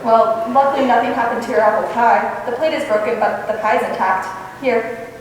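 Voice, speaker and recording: A woman's voice: fairly high pitch, steady tone, moderate pace, very echoey, big-room sound, natural voice. Woman doing a wisecracking schoolmarm in a radio play, dry delivery, far from the mic, faint hiss. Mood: dry, polite. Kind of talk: old-time radio comedy acting on stage.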